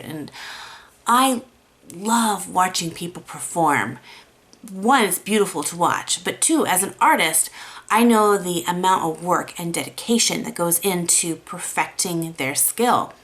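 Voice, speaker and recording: A woman with a raspy voice gives a chatty, encouraging watercolor lesson. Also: fairly low pitch, a bit bright. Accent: American. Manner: encouraging, chatty, self-deprecating. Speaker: watercolor art teacher. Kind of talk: casual tutorial talk.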